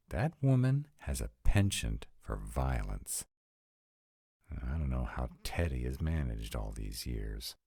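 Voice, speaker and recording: A man's voice: very deep voice, darkish tone, dry recording, heavily acted. A man voicing a sarcastic, exasperated vampire in a scripted roleplay audio, talking to his partner with dry, emphatic delivery. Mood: wary, emphatic. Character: sarcastic male vampire, exasperated, anxious about in-laws.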